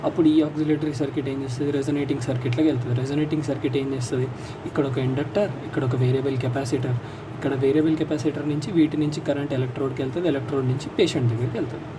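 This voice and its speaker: A man's voice: medium pitch, steady tone, racing. A man with an Indian accent giving an informal explanatory lesson as a lecturer, repeating and rephrasing key points step by step. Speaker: physiotherapy lecturer. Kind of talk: informal explanatory lesson, mixing English terms into Telugu. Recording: distant mic, loud background vehicle noise.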